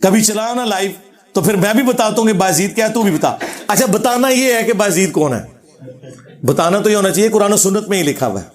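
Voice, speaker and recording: A man lecturing on religion in a notably high-pitched voice with a Pakistani Punjabi accent. He argues his rebuttal informally and sardonically, moving between commentary and quoted scripture. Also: lively intonation, brisk. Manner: argumentative, sardonic, quoting scripture.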